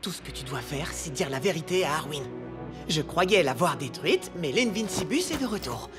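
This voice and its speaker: An earnest young cartoon hero, high-voiced and hesitant. He speaks, and loud music plays under him. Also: very animated, moderate pace. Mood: troubled. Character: earnest, hesitant young male friend of prince. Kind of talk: animated cartoon dub.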